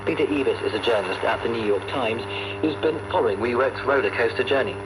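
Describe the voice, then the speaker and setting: A man's voice: medium pitch, quick pace. A man presenting a radio news programme in a scripted style, heard over shortwave. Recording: noisy shortwave radio reception.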